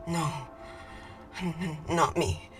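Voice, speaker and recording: An actress playing a cryptic, wry captive woman, speaking in a halting, evasive way in a dramatic style. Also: sits low, music in the background. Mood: halting, wistful. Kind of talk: naturalistic dramatic screen acting.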